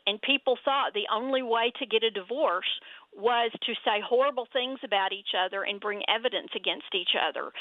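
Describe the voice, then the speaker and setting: A woman's voice: a little high, dry recording. Texas woman lawyer on a phone line. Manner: measured, explanatory. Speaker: family lawyer, guest. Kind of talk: interview answers.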